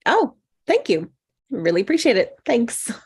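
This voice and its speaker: A woman's voice: fairly high pitch, huge pitch swings, a little slow, darkish tone, slightly rough, exaggerated voice. A woman reading the part of a flustered, self-deprecating woman in a live table read, natural and apologetic. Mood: shy, grateful.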